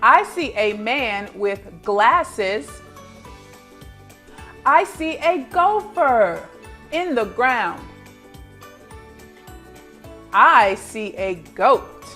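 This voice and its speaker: Lively high-voiced woman teaching young children. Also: very slow, faint music behind. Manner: clear instructional delivery addressed to young children. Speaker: teacher and read-aloud host. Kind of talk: children's read-aloud lesson, expressive storybook narration.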